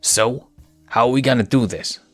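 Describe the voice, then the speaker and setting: A man voicing an eager, dim male hyena henchman, excitable and pleading. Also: medium pitch, normal speed, no room sound, faint music behind, pitched above the performer's own voice, lightly acted. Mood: scheming.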